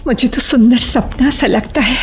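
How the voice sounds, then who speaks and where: A woman's voice: high voice, moderate pace, faint music behind. A woman acting in a Hindi radio play as a lonely, reserved woman with secret longing, hesitant and emotional, repeating her phrases. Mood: yearning, confessional.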